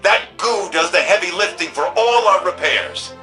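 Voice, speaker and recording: An actor playing a protective, plain-spoken repair engineer in a futuristic video game, brisk and folksy. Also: male voice, high voice, expressive, over music. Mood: concerned, folksy. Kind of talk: video game radio dialogue.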